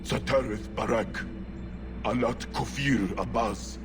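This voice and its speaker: A man playing a stern, resolute giant warrior in a fantasy video game, solemn and deliberate. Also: mid-range voice, lightly acted. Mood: grave, resolute. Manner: grave, deliberate. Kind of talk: solemn game cutscene acting. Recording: music under the voice. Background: music.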